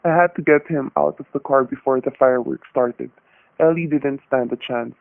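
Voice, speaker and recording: A man's voice: medium pitch, normal speed, vocal fry, natural voice. Man playing hard-boiled but folksy cop, wry, old radio drama, thin tinny sound. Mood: satisfied, wry. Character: steady, shrewd male off-duty homicide detective and husband. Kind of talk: vintage radio drama acting and noir narration.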